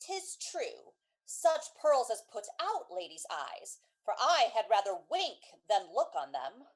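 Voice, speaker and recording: A woman's voice: on the high side, big pitch swings, slow, full character voice, poor audio. A woman playing a lovelorn young woman muttering sardonic asides in a Shakespearean radio comedy. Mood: bitter, mocking.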